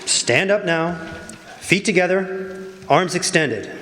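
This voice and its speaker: A man acts out a nervous, officious examiner in a radio play. His fairly high voice is curt and huffy, heard with the reverb of a hall. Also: lively intonation, slow pace, sharp tone, slight background noise, heavily acted. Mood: irritated. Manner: curt, huffy, stammering when rattled. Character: irritable, nervous, officious male personnel examiner. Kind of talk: stage radio drama acting.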